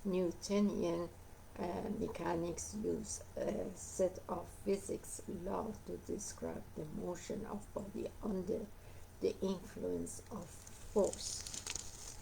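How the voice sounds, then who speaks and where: A woman with a hoarse voice reads a nonfiction book aloud, pausing often on "uh" and going back to fix her words. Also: on the low side, steady tone, warm tone, slight background noise, poor audio. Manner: halting reading with frequent uh fillers and self-corrections. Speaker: book reader.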